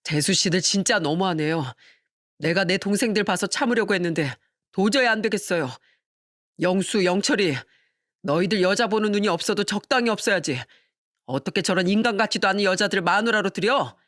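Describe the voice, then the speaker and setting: A woman's voice: mid-range voice, fairly quick, a bit bright, no room sound, clean studio sound, lightly acted. A woman voicing a fed-up eldest brother who sternly rebukes his family in a dramatized story. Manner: stern, reproachful.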